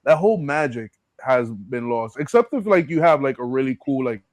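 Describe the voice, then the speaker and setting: A man's voice: mid-range voice, animated, normal speed, dry recording. Slangy American man hosting, close dry sound. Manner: slangy, streetwise, conversational. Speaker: podcast host. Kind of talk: casual chat.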